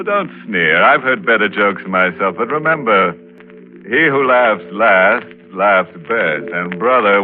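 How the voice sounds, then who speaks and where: An actor playing a ghoulish, mock-friendly horror host in 1940s radio style, making macabre wisecracks with drawn-out words. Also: male voice, deep voice. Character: ghoulish, jovially sinister male horror show host. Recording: old muffled radio recording with music.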